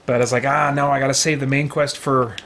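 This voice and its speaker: Casting: male streamer, mid-pitched, casual gameplay talk, thinks aloud, slight background noise. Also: flat delivery, fast, fairly bright tone. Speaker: game streamer.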